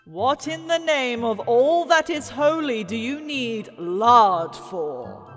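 A woman's voice: a little high, lively intonation, slow, fairly bright tone. A woman with an English accent playing a proud, weary storyteller and reluctant regent, theatrical and hesitant, in a fantasy audio drama. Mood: flustered, exasperated. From England. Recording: reverberant hall with music.

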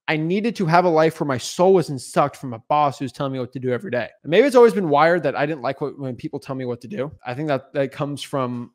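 A young man in his twenties talks straight into a podcast mic in a small home studio, his voice sitting a little high. He pushes hard, circling back to the same questions with lots of "right?" and "like.". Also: fast, few pauses. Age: 20s. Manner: intense, repeats himself, frequent "right?" and "like". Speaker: real estate wholesaling coach. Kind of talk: unscripted motivational talk to camera. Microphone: podcast mic on boom arm in front.

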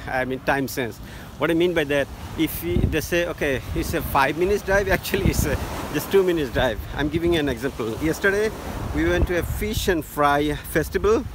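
Man, halting, loud wind. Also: fairly high pitch. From Bangladesh. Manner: halting, many fillers and restarts. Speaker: travel vlogger. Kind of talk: casual travel tips to camera. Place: outdoors on a sunny beach by the sea. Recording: loud wind noise on phone mic.

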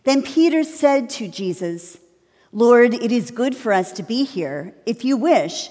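A middle-aged woman pastor reading the gospel from a pulpit in a measured, deliberate way. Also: on the high side, expressive, darkish tone. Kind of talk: slow liturgical gospel reading. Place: large church sanctuary. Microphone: pulpit microphone. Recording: long church reverb.

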